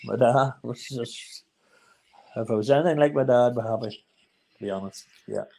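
A man's voice: mid-range voice, moderate pace, dark tone. A man in his seventies with a Northern Irish accent, chatting casually as an interview guest in a plain-spoken way, often repeating his phrases. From Ireland. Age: 70s. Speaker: interview guest, charity founder.

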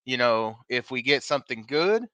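A man's voice: medium pitch, animated, normal speed, a bit bright, smooth, no room sound, dull audio. A man co-hosting a casual live wrestling podcast from a bar, upbeat and plugging the show between topics. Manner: upbeat, plugging social media, teasing. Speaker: podcast co-host.

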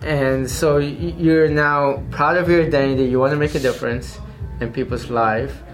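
A woman's voice: a bass voice, steady tone, normal speed, faint music behind. A woman interviewing a guest with casual questions, often restarting her sentences and prompting in a friendly way.

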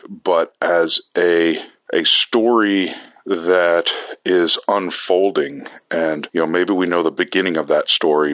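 A man leaving a casual voice message, thinking aloud in a halting, thoughtful way. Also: deep voice, a bit bright, no echo, muffled recording. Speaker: podcast call-in listener.

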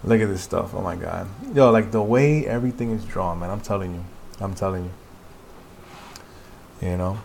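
A man's voice: low voice, normal speed, creaky, pauses often, darkish tone. Casual, slangy man over noticeable background noise. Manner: informal, slangy, enthusiastic, voices sound effects. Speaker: podcast host doing a live read-along. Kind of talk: casual chat and animated reading of a comic.

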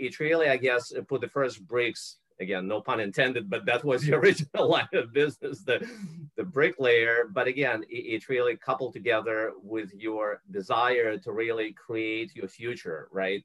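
A man's voice: medium pitch, normal speed, fairly bright tone, poor audio. A man from Ukraine hosting an interview podcast, asking long, rambling questions full of fillers. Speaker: host.

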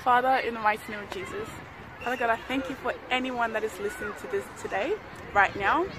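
Young woman from ghana, high voice, earnest, loud crowd and traffic behind her. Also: fast, rough recording. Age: young adult. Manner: earnest, fills with "you know". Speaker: interview guest. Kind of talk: casual testimony and prayer.

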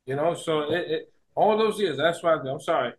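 A man chatting casually about retro video games as a podcast guest, excitable and emphatic, often repeating himself. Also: a little high, moderate pace.

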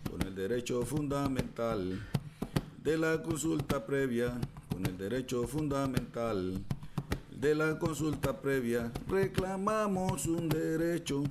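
A man's voice: medium pitch, expressive, slow pace. A man playing an old village verse-maker who sings repeated folk verses to reassure his neighbours in a radio drama. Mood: reassuring, rallying. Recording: clearly noisy recording.

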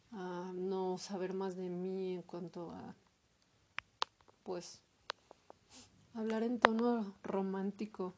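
A woman talking alone in a hesitant, reflective monologue, full of fillers and pauses. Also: medium pitch, mellow. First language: Spanish. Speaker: podcaster. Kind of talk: personal monologue.

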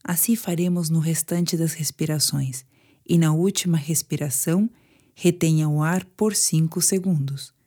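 A woman with a Brazilian Portuguese accent guiding a sleep meditation in a soothing, hushed manner with pauses. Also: deep voice. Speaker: meditation guide. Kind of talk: guided sleep meditation narration.

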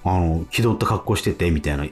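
A man co-hosting a radio show, telling anecdotes in a casual, animated storytelling way. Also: low voice, quick pace, faint music behind. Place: radio studio.

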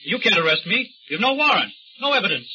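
An actor playing an agitated, haughty young gentleman accused of murder, in old-time radio melodrama style. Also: sits high, sing-song, fast talker, slightly rough, heavily acted. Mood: indignant. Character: indignant young gentleman student, cornered murder suspect. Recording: muffled old radio drama recording.

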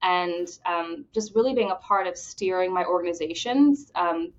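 A woman from the Bahamas speaks as a guest in an interview, fast and eager, often stumbling over repeated words. Her voice sits a little low. The audio is dull and lo-fi. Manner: enthusiastic, fast talker with repeated restarts. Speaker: credit union executive, podcast guest. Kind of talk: conversational interview answers.

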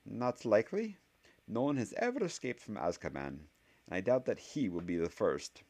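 A man's voice: lowish voice, very animated, normal speed, creaky, darkish tone, pitched above the performer's own voice, full character voice. A man voicing a stern older female witch professor who speaks in a clipped, dry, guarded way. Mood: dismissive.

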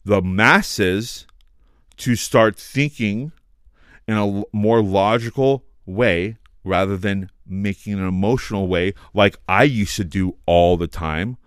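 A man hosting a casual podcast chat, talking loudly and passionately, swearing and filling his speech with "like". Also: on the low side, takes it slowly, clean tone, no echo, studio quality. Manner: loud, passionate, swears, heavy use of "like". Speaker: podcast host.

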